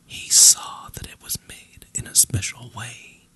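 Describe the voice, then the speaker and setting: A storyteller narrating a bedtime fairy tale in a breathy, voiceless whisper, slowly and deliberately with pauses. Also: sharp tone. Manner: slow, deliberate, with long pauses.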